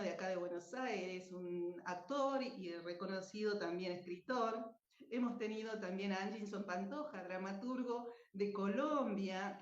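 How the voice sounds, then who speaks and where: An Argentine woman giving an informal, effusive, anecdotal talk as a presenter. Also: medium pitch. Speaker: radio drama writer and speaker at a book fair. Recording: dull, echoey lo-fi room sound.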